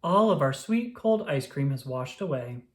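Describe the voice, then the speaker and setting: A reader voicing a fretful, excitable male toad, an anxious and loyal friend, in a children's storybook. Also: mid-range voice, moderate pace, close to an everyday voice. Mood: annoyed. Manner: worried, exclamatory. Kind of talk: children's storybook read-aloud.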